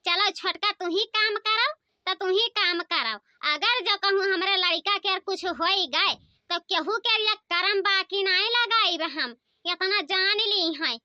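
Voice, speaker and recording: Woman voicing shrill, grumbling young Awadhi daughter-in-law. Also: a little slow, sharp tone, no echo, lo-fi sound, heavily acted. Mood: aggrieved grumbling. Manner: complaining, exclamatory. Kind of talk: comic cartoon dialogue.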